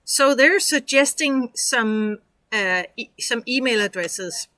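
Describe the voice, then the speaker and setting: A woman's voice: fairly high pitch, animated, slow pace, pushed and bright, dry recording. A woman from Denmark with a Danish accent, walking viewers through a tutorial in a chatty, encouraging way with lots of fillers. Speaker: online course instructor. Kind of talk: casual screen-share tutorial.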